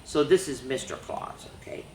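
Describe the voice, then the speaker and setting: A woman's voice: extremely low pitch, normal speed, dark tone, roomy sound, slight background noise, low-quality recording. A woman reading a rhyming picture book aloud to children, with chatty asides between pages. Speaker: children's storyteller.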